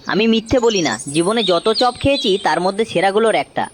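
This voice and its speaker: A woman's voice: mid-range voice, expressive, a little fast, noisy background, close to an everyday voice. A woman voicing a frank, appreciative village traveller in a cartoon moral story. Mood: earnest. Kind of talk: animated moral story dialogue.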